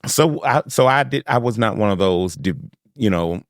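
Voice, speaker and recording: A man's voice: lowish voice, lively intonation, moderate pace, no echo, clean studio sound. A man hosting a podcast, chatting casually and animatedly, laughing often and acting out quotes. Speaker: podcast host. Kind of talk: casual chat.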